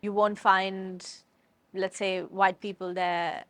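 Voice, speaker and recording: A woman answers interview questions matter-of-factly. Her pitch stays level and flat, and her voice is recorded dry and close. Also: slow, no echo. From Mumbai, India. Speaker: student interviewee.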